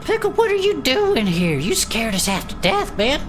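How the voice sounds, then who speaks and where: Excitable little fantasy adventurer: high, swooping, folksy, exclamatory, over loud music. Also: male voice, moderate pace, heavily acted. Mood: concerned, startled. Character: small, excitable, folksy male adventurer, dramatic worrier. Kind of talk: audio drama acting.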